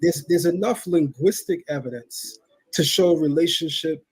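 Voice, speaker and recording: A Jamaican-accented man with a husky, fairly high voice. He presses his points with firm, pointed questions in a live online debate. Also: normal speed, darkish tone, rough recording. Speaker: debate participant.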